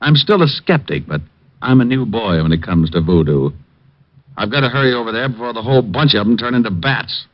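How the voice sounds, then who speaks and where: An actor playing a hard-boiled, skeptical private eye with a wry, slangy delivery in an old radio noir drama. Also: male voice, medium pitch, animated, a little fast, lightly acted. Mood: narrating, hard-boiled. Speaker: private detective lead and narrator. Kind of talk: 1940s radio noir acting and narration. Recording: dull vintage radio recording.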